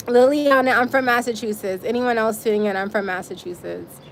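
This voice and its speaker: A woman's voice: a little high, slight background noise. A woman hosting a casual live stream outdoors, chatting straight to her viewers with frequent little laughs. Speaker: livestream host.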